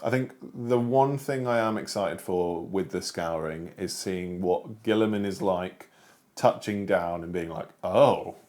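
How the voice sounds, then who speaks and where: British man, low voice, casual unscripted chat, informal slangy wording, co-host discussing books. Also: measured pace, darkish tone. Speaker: book discussion show co-host.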